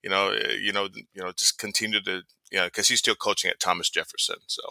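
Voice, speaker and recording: A man's voice: deep voice, little pitch movement, fast, vocal fry, bright, forceful tone, no echo, low-quality recording. A middle-aged man from American Samoa who grew up later in Seattle, talking earnestly and casually as an interview guest, repeating phrases for emphasis. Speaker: podcast guest, youth sports coach and father. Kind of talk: casual personal storytelling in an interview.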